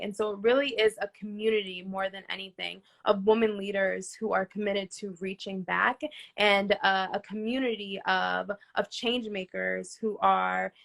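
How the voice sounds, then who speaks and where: Woman entrepreneur, interview guest, creaky voice, earnest and filler-heavy. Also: medium pitch, a bit bright. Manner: earnest, frequent "um" fillers and "right?" tags. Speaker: entrepreneur and nonprofit founder. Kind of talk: interview guest answering questions.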